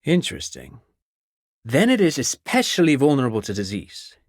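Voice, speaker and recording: A man's voice: mid-range voice, huge pitch swings, moderate pace, no room sound, studio quality. A man playing an eager, erudite consultant to the police in a video game, speaking in a polite, pedantic, lecturing manner. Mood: fascinated, musing. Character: eager, erudite male civilian consultant to police, digressive and excitable.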